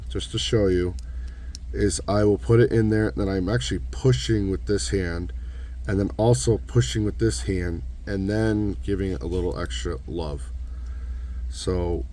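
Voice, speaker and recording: A young man with a hoarse voice from losing it, casually walking through a hands-on tutorial in a relaxed, rambling way. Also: on the low side. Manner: relaxed, rambling, self-correcting. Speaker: knife modding channel host.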